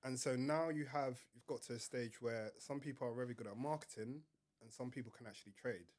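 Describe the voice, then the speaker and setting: Slightly croaky man. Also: mid-range voice, darkish tone. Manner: measured explanations with fillers. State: a bit croaky from illness. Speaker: podcast guest, entrepreneur. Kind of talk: casual podcast interview.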